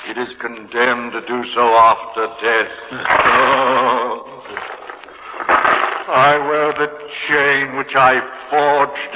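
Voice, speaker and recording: A man's voice: medium pitch, natural voice. An actor playing a solemn, remorseful ghost of a businessman in a declamatory 1950s radio drama style. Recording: reverberant, dull, hissy old radio broadcast.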